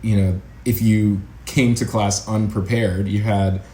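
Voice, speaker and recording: A man's voice: lowish voice, little pitch movement, measured pace, mellow. A young American man chatting casually as an interview guest, with a wry, self-deprecating manner. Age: young adult. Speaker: interview guest, actor and acting educator. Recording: roomy echo, faint background noise.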